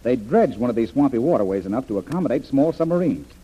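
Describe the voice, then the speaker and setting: Husky, animated radio adventure hero. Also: male voice, mid-range voice, quick pace. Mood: confident, explanatory. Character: confident male adventure hero, brisk and reassuring. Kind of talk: old-time radio drama acting. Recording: dull, band-limited vintage radio recording.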